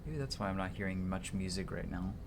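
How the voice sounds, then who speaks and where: A man's voice: sits low, a little fast, background noise. A man streaming a game, reading text aloud and muttering asides to himself as he plays. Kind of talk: live playthrough commentary, reading game dialogue aloud.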